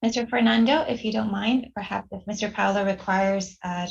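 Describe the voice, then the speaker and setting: A woman's voice: mid-range voice, fairly even intonation, brisk, creaky, dull audio. Polite woman moderating a webinar. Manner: polite and formal. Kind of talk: formal introductions. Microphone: video call.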